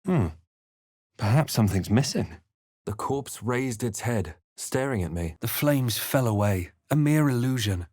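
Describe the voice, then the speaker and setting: A fantasy video game hero who is watchful and brooding. He speaks in measured, dramatic tones at an ordinary male pitch. The sound is very dry and intimate, with no room around it. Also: lively intonation, a little mellow, dry recording. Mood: solemn, watchful. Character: adult male fantasy adventurer, composed, observant and brooding. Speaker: video game player-character voice. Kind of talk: dramatic first-person narration and character barks.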